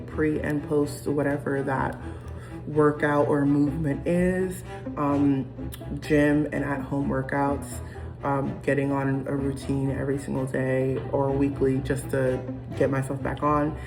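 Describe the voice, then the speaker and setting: A woman's voice: deep voice, level tone, slow pace, vocal fry, low-quality recording. A young woman vlogging in a casual, rambling, reflective way, with lots of ums and restarts as she talks to camera. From United States. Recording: music playing behind.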